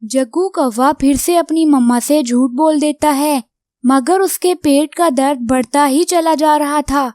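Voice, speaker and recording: A woman's voice: sits high, measured pace, darkish tone, no room sound, studio quality. A woman reading scripted narration for a children's cartoon story.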